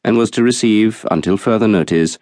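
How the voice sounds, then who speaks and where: A man reading a literary novel as an audiobook narrator, in a measured, articulate storytelling manner. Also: fairly low pitch, a little mellow, dry recording, clean studio sound.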